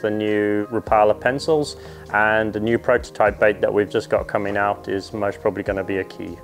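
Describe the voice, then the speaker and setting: A man with a lowish voice gives candid, matter-of-fact interview answers. A lapel mic picks him up indoors. Faint music plays behind. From Zimbabwe. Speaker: angler interviewee. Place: indoor room.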